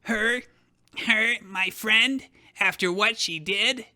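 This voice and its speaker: Man acting a bright, scornful, high-voiced young hero. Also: slow, heavily acted. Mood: incredulous, scornful. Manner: scornful, declamatory. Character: proud, bitter, vengeful male hero. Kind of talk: dramatic fan fiction reading.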